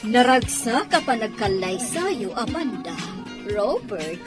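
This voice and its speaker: A woman's voice: on the high side, sing-song, takes it slowly, loud music behind the voice. A woman playing a chatty wedding guest in a radio drama. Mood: agreeable.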